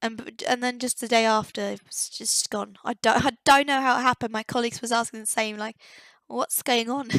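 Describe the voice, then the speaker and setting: Young woman, higher voice, dry close sound, slight croak; hesitant casual commentary. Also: steady tone, brisk, few pauses, fairly bright tone, no room sound. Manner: hesitant, searching for words, many fillers. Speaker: game builder. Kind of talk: casual voice-over commentary on a game build.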